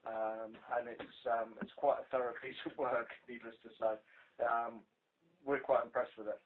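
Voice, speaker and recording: A British man giving an informal, halting work briefing as a police investigator on a conference call over the telephone. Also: medium pitch, level tone, a little hiss or hum. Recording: tinny narrow-band phone conference line.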